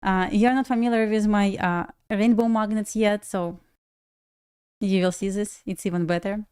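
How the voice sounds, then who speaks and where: A young woman from Belarus with a Russian accent, a native Russian speaker, hosting a casual trading livestream and chatting to viewers with frequent laughs and halting restarts. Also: mid-range voice, fairly even intonation, darkish tone, clear, resonant tone.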